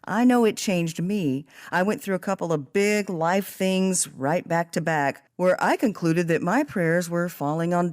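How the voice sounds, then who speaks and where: Woman, slightly low voice, podcast host, earnest, reflective, devotional, scripted delivery. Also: darkish tone.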